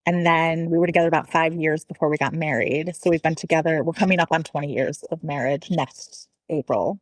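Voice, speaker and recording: A woman's voice: deep voice, fairly even intonation, brisk, no echo, rough recording. A woman in her forties chatting informally and in a self-deprecating way as a podcast guest. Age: 40s. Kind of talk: casual conversational interview.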